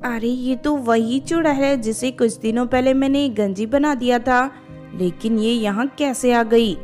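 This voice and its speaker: A woman's voice: fairly high pitch, a little slow, darkish tone, faint music behind, lightly acted. A woman voicing a bold, stern good fairy with a commanding way of talking in a children's tale. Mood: puzzled. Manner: commanding, scolding.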